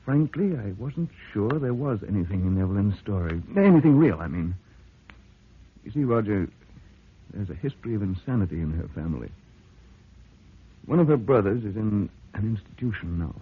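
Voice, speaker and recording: An actor playing an anxious, doubtful husband in a vintage radio drama, confiding in an earnest, hesitant way. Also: male voice, on the low side, animated, normal speed, pauses often, husky. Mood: troubled, hesitant. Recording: dry, tinny old radio recording.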